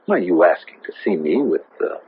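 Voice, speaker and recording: Low-voiced, courteous radio drama gentleman. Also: sing-song, brisk. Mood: puzzled, flattered. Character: smooth, courteous middle-aged man with a hidden past. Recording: noisy, tinny, band-limited vintage radio recording.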